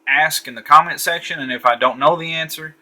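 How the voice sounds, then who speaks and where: A man's voice: fairly high pitch, a little fast, pushed and bright. A man giving a casual, easygoing walkthrough of a radio at a home desk late at night, thinking aloud with frequent fillers. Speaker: radio reviewer.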